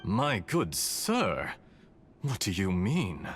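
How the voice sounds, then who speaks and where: An actor playing a suave, mocking villain in a video game, theatrically polite, smug and sly. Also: male voice, on the low side, huge pitch swings, very slow, full character voice. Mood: mock politeness. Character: suave, mocking male villain posing as mechanic. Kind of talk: dramatic video game voice acting. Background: background music.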